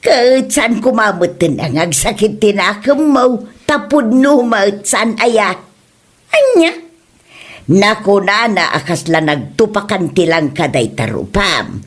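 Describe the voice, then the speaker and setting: A woman's voice: mid-range voice, sing-song, slow pace. A woman playing an indignant, whining village woman in a comedy audio drama, with an Ilocano accent. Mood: hurt, complaining. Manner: whining, exclamatory complaining. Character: touchy, indignant village woman Wilma, insulted over her face.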